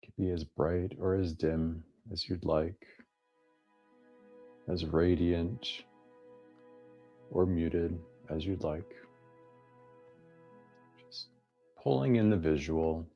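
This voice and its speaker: A deep-voiced man guides a meditation over background music. He speaks very slowly, in gently inviting phrases broken by long pauses. He sounds like a teacher giving soft instruction. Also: lo-fi sound.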